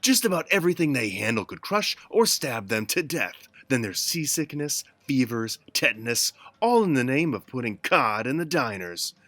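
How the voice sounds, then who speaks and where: Sardonic gangster boss male actor, lively swoops in pitch, smug threats and jibes, 1940s radio spoof. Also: normal speed, hardly any pauses, dry recording. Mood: menacing. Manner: taunting, wisecracking, menacing. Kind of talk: parody of 1940s radio acting.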